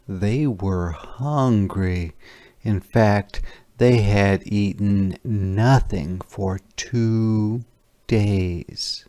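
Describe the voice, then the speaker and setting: Slow, smooth, deep-voiced male storyteller. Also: dark tone, dry recording. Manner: deliberate, clearly enunciated read-aloud. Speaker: storyteller reading a picture book aloud. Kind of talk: scripted children's story narration.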